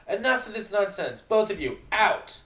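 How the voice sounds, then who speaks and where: A man's voice: sits high, sing-song, normal speed, sharp tone, far from the mic, voice pushed up, exaggerated voice. A man voicing a stern, commanding male pony guard captain in an amateur story reading, bellowing with authority. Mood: bellowing anger. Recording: roomy, echoing home recording.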